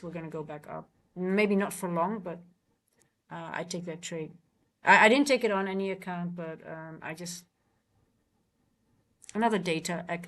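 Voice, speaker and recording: A woman's voice: fairly low pitch, fairly bright tone. Chatty Dutch woman coaching traders. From Netherlands. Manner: chatty, repeats words, frequent restarts and fillers. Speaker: trading coach streaming live. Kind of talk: casual live-stream chat.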